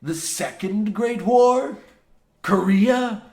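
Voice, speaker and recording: A man playing a gruff old coal mine foreman in an old-time radio comedy, blasé and folksy in archaic dialect. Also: high voice, extremely slow, a little mellow, heavily acted. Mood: blustering, indignant. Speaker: radio play actor.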